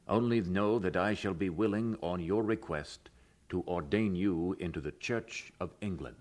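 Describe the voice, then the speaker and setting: A man playing a dignified elderly bishop in an audio drama, speaking formally and deliberately with benevolent authority. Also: deep voice, a little mellow, lightly acted. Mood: stately approval.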